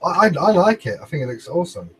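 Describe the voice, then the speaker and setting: A man's voice: a little high, sing-song, fast, a crowd in the background. A man chatting informally and bluntly as a panellist on a talk show. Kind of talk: casual chat.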